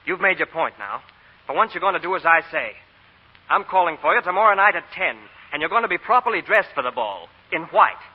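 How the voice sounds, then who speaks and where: An actor playing an earnest, exasperated banker and suitor in a 1940s radio drama, emphatic and theatrical. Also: male voice, fairly high pitch, big pitch swings, fairly quick, breathy edge. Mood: frustrated, stern. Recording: muffled 1940 radio broadcast, hall reverb.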